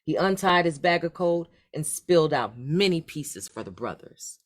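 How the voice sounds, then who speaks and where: A woman reading a children's story aloud in an expressive, deliberate storytelling manner. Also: sits low. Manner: animated, deliberate read-aloud delivery. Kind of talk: expressive scripted storybook narration.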